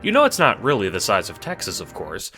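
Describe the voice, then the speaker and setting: A man with a low, bright, punchy voice narrates a scripted audio drama. He speaks to the listener as "you", wry and sardonic, with a theatrical touch. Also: huge pitch swings, brisk, faint music behind.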